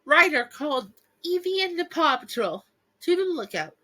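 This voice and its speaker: A woman reading a bedtime story aloud and chatting casually to viewers, with a playful, giggly, expressive manner. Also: high-pitched, moderate pace, rough recording. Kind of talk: storybook read-aloud with casual asides to fans.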